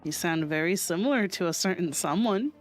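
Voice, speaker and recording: A woman game streamer giving casual, expressive reaction commentary and thinking aloud as she plays. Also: fairly low pitch, fairly quick. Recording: game music behind.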